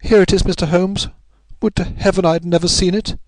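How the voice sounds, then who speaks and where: A man's voice: high voice, fairly even intonation, darkish tone, dry recording, pitched above the performer's own voice, lightly acted. A man voicing a distraught, pleading upper-class married Englishwoman in a story, breathless and melodramatic. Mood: regretful. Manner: breathless, melodramatic, halting repetitions.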